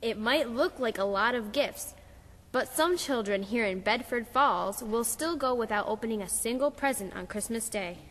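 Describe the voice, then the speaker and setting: A woman plays a talking Christmas tree with a gentle, kindly manner. The voice sits fairly high and reverberates through a hall, as in a children's puppet show. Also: moderate pace.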